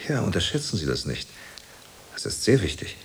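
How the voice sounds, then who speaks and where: An actor playing a jovial, flattering theatre director who talks down to a beginner with hesitant pauses and chuckles, in radio drama style. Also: male voice, low voice, a little hiss or hum, exaggerated voice. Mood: flattering, paternal. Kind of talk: German radio drama acting.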